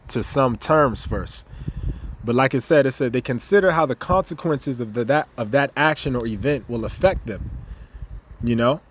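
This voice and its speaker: A man with a slightly raspy voice giving a casual religious teaching, rambling and repeating himself, then reading scripture aloud and growing more emphatic. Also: medium pitch, a little hiss or hum. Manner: rambling, repeats words, many fillers; grows louder and more emphatic as he goes. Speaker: ministry member giving a teaching video. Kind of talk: informal sermon with scripture reading.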